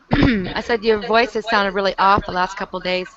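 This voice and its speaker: A woman's voice: mid-range voice, moderate pace, a crowd in the background. A woman in a group voice chat, asking pointed, probing questions. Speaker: voice chat participant. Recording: muffled noisy voice chat with clicks.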